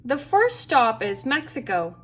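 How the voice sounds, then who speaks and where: A woman reading a children's picture book aloud as a storyteller, with expressive, deliberate phrasing for young listeners. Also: a little high, slight background noise.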